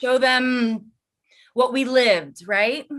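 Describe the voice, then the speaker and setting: A woman's voice: on the high side, very slow, fairly bright tone. A woman playing a hesitant but practical, supportive woman in a staged reading of a play. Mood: hopeful.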